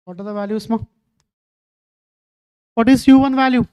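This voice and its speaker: Mellow-voiced woman teaching. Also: a little high, fairly even intonation, normal speed, clear, resonant tone. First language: Telugu. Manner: keeps quizzing students, calling them "ma". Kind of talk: online exam-prep lecture.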